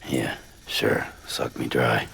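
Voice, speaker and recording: A weary, laconic courier in a video game. His deep voice is gravelly and muttered, with clear ambient noise around it. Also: level tone, slow pace, sharp tone, exaggerated voice. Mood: weary, dry. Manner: terse, muttering. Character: gruff, weary, laconic delivery man. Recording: clearly noisy background ambience.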